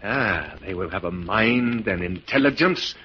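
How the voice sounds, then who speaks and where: An actor playing a menacing, gloating mad scientist professor, theatrical and mock-polite, in 1940s radio drama style. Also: male voice, low-pitched, expressive, unhurried, slightly rough, exaggerated voice. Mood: smug, sinister. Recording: scratchy, dull 1940s radio recording.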